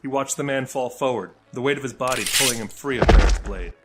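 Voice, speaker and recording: A man's voice: medium pitch, quick pace, over loud music. A man reading scripted fantasy fiction as the narrator of an audio drama.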